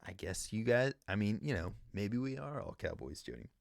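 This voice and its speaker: Lively, laughing man hosting a podcast. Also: fairly low pitch, moderate pace, vocal fry, no echo, studio quality. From Tennessee, southern United States. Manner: animated and laughing, with many fillers. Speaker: podcast host. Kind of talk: casual livestream podcast chat.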